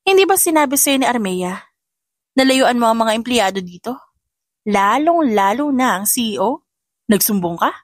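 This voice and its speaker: A woman's voice: a little high, lively intonation, normal speed, pauses often, dry recording, clean studio sound. A woman voicing a spiteful young female office bully in an audio drama, taunting and haughty.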